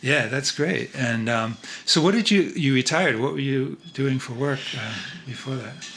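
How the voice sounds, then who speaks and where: A male show host with a bright, forward voice and middling pitch. He moves between showy scripted introductions and easy, casual interview chat. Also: a little slow, vocal fry, slightly rough.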